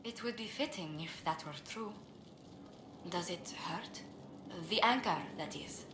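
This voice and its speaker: An actress playing a refined, earnest court diplomat in a video game, with courteous and formal speech. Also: female voice, medium pitch, expressive, unhurried, echoey room, noisy background, natural voice. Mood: wistful. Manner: courteous, formal, elegant phrasing. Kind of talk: scripted video game dialogue.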